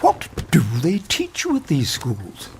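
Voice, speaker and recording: An actor playing a kindly, logical old professor, speaking deliberately and formally in a radio drama. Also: male voice, a little high, huge pitch swings, slightly rough, full character voice. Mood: musing, wry. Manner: deliberate, formal, pedantic, gently exasperated.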